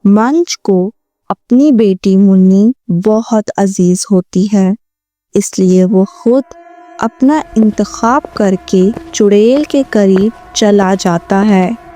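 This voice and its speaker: A woman narrating a storybook cartoon in a very slow, measured way. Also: mid-range voice, warm tone.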